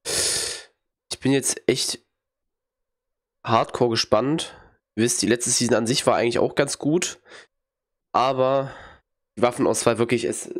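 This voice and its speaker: Young man with a cold, blunt and slangy. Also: mid-range voice. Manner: blunt, slangy, mocking reactions. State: has a cold, occasional coughing. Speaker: gaming streamer. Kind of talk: casual gameplay commentary. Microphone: headset.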